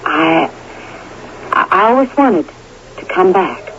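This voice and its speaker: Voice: medium pitch, lively intonation, slow. An actor playing a wistful, spiritual, educated old flame in a radio drama. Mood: wistful, reverent. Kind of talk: 1980s radio drama acting. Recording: dull, noisy old radio recording.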